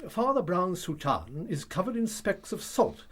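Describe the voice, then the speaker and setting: A celebrated old English detective in a radio drama. He is courtly and self-satisfied, speaking in a high, very animated voice with formal, theatrical precision. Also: measured pace, breathy edge, heavily acted. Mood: self-assured, showing off.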